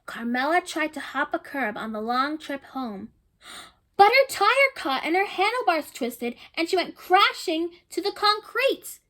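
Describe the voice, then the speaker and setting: Woman storyteller for kids, high and bright, chatty asides, asks listeners questions. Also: very animated, unhurried. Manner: chatty, warm asides to young listeners, often repeating herself. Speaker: storyteller reading a picture book aloud. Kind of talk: children's read-aloud with questions to listeners.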